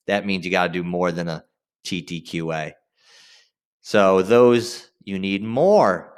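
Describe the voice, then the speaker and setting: A man's voice: on the low side, clear, resonant tone. A man teaching students in an informal, directive instructional style, stressing key phrases and checking in as he guides them through the lesson. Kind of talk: instructional screen-share lesson addressed to students.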